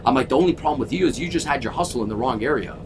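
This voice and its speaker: Thirties guy talking sales fast and punchy, lots of cussing, engine rumble in the background. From Toronto, Canada. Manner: energetic, emphatic, swears freely. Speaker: sales coach. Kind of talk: casual interview. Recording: constant vehicle rumble.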